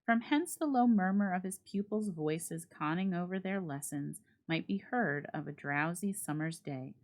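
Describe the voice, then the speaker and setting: Low-voiced woman reading a classic tale. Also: animated, moderate pace. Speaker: narrator reading a classic short story aloud. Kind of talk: dramatic literary reading, scripted narration.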